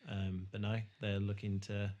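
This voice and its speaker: A man's voice: on the low side, monotone, a little slow, dark tone, highs cut off. A man co-hosting a podcast, chatting casually through a film recap in a hesitant, self-correcting way. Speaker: podcast co-host.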